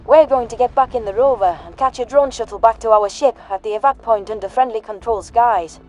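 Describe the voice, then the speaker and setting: A woman's voice: on the high side, brisk, darkish tone, natural voice, rough recording. A woman playing a tough, sardonic, grieving human soldier in a sci-fi audio drama, blunt and given to swearing. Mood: bitter, grieving.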